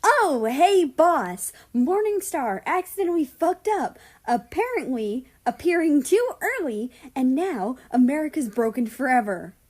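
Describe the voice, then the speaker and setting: Audiobook role: female guardian spirit, bitter and self-blaming, pitched up, snapping sarcasm played by a woman narrator. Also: big pitch swings, unhurried, few pauses, a little mellow.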